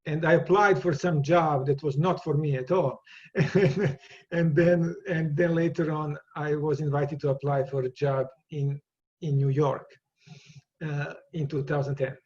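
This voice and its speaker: A man's voice: a little high, steady tone, a little slow, darkish tone, highs cut off. A man from Serbia with a Serbian accent, a guest in an interview, talking casually with many restarts and repeated words.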